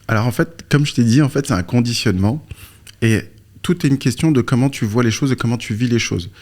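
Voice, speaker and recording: A man talks as a guest coach in an interview, with a mid-pitched voice. He explains things informally, often asking a question and answering it himself. His delivery is conversational, like someone telling his own story. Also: brisk, a little mellow. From born in Morocco, moved to France aged three or four. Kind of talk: conversational explanation with personal anecdotes.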